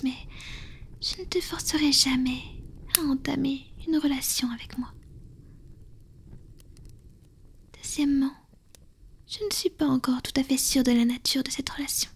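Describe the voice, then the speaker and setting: A woman voicing an ancient, powerful female vampire and tender lover in a French ASMR romance roleplay, with a soft breathy whisper and intimate, halting delivery. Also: high voice, a little hiss or hum. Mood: earnest, tentative. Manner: slow, intimate, halting with pauses.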